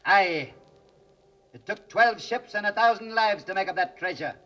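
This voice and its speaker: An actor playing a sly old ghostly seafarer in 1940s radio style, gloating over treasure in archaic sailor talk. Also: male voice, high voice, normal speed, bright, forceful tone. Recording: dull old radio drama recording, music behind.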